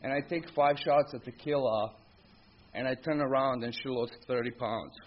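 A middle-aged man with a Croatian accent speaks candidly to a recovery meeting at an ordinary male pitch. He tells his own story in short, blunt, informal sentences. The recording is dull and lo-fi, with clear background noise. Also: steady tone, normal speed. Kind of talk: personal recovery testimony, informal spoken storytelling. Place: live talk at a recovery meeting.

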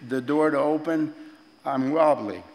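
An eighty-year-old man giving personal testimony at a recovery meeting, halting and repeating himself with frequent chuckles. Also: on the high side, darkish tone, in a large hall, poor audio. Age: 80s. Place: large meeting room with tables.

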